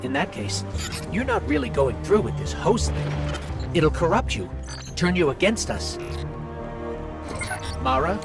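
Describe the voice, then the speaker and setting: An actor playing a loyal, wary sci-fi companion in a video game, talking in a casual, skeptical, plain-spoken way. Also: male voice, a little high, very animated, a little slow, long pauses. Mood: worried, challenging. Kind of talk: scripted video game dialogue. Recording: loud music behind the voice.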